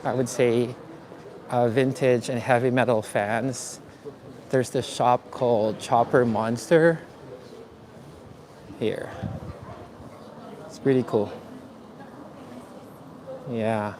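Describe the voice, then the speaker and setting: A man from the Philippines hosting a live walking tour outdoors, talking in a relaxed, chatty way with many fillers. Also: mid-range voice, takes it slowly, long pauses. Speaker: vlogger. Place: city streets outdoors. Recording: faint street noise outdoors. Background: street traffic and ambience.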